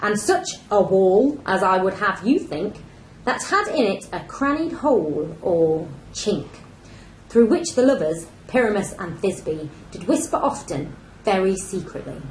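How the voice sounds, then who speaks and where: Solemn talking wall for a radio comedy female actor, deliberate declamation, mid pitch, echoing noisy room. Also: sing-song, darkish tone. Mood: solemn announcing. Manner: deliberate, declamatory verse delivery. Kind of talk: amateur Shakespeare radio play. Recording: echoey room with background noise.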